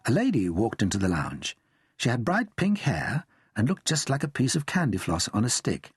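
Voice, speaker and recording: Lively, slightly husky British male storyteller. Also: medium pitch, normal speed, dry recording, clean studio sound. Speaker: audiobook narrator. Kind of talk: expressive scripted children's story narration.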